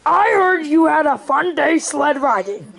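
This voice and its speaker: Woman voicing a high-pitched puppet monster. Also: expressive, measured pace, creaky, fairly bright tone, heavily acted, low-quality recording. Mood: friendly. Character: puppet Purple People Eater, chatting with the crowd.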